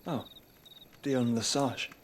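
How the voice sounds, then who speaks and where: An actor playing a noble, burdened young man in a fantasy game, speaking in a formal, measured and courteous way. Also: mid-range voice, steady tone. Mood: solemn, reflective. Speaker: video game character. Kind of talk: dramatic cutscene acting.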